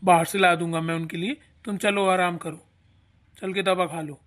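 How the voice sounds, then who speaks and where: A woman's voice: fairly low pitch, fairly even intonation, normal speed, slight background noise, natural voice. A woman voicing a protective young husband and grown son in a family cartoon, firm and reproachful. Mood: concerned, insistent. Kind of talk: Hindi family cartoon acting.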